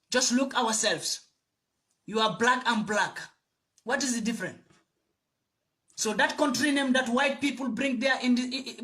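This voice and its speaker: A man speaks in a very high, sharp, bright voice. He gives a passionate address to camera. He drives his points home with preachy repetition. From Gambia. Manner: fiery, preachy, repeats phrases for emphasis. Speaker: video commentator.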